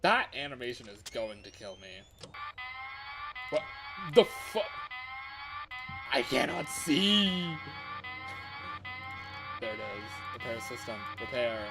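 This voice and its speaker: A man giving live, excitable gaming commentary as he plays, thinking aloud as he goes. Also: medium pitch, background noise. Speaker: livestreamer playing a fangame.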